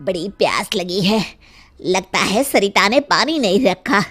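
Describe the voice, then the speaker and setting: A woman voicing an ordinary, excitable village husband in a dramatized folk tale. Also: mid-range voice, unhurried, background noise, lightly acted. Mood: casual.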